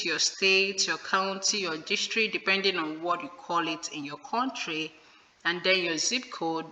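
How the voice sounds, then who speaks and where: A woman's voice: on the low side, bright, forceful tone. A woman hosting a tutorial, patiently walking viewers through an online form and repeating the key points. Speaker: video host explaining a visa form. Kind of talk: screen-recorded tutorial walkthrough. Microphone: voice-over on a screen recording. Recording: echoey room with long reverb.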